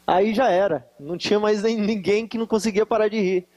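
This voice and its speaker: A man with a high-pitched voice and a Minas Gerais accent, speaking as a guest preacher at a church conference. He talks in a relaxed, joking way and teases his listeners. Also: fairly quick. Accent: Brazilian Portuguese, Minas Gerais. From Varginha, Brazil. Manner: joking, teasing the audience. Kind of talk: conference sermon with jokes. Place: conference hall with live audience.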